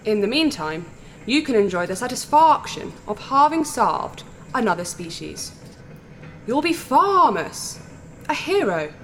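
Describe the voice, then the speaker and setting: A woman playing a massive, blunt insectoid alien princess in a sci-fi audio drama, speaking in a formal, deliberate, regal way with odd vowel sounds. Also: a little high, big pitch swings, a bit bright, slight background noise. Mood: lofty, matter-of-fact.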